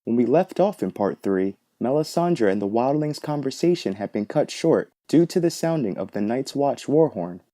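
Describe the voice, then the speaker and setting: A man's voice: mid-range voice, lively intonation, normal speed, few pauses, mellow, dry recording, studio quality. A man hosting a podcast, delivering scripted analytical commentary in an explanatory way. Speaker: podcast host.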